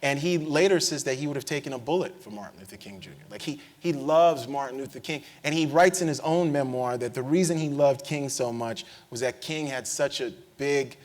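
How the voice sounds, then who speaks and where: Male speaker on a stage panel, lively storyteller, frequent restarts, stage mic. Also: medium pitch, a little fast, hardly any pauses. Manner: animated storyteller, tag questions, frequent restarts. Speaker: panelist, historian. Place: lecture hall stage.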